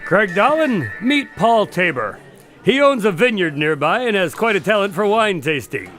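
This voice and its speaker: High-voiced, genial gentleman doctor. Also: very animated, normal speed, soft background music. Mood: polite, reassuring. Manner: polite, fussy, apologetic. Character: genial, courteous gentleman doctor hosting a wine party. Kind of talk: radio drama acting.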